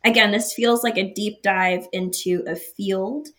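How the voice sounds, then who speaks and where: A woman's voice: medium pitch, fairly even intonation, unhurried, a bit bright. A woman co-host with a mid-range voice talks in a casual, effusive way. She sounds appreciative and chatty. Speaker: podcast co-host. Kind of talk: casual chat.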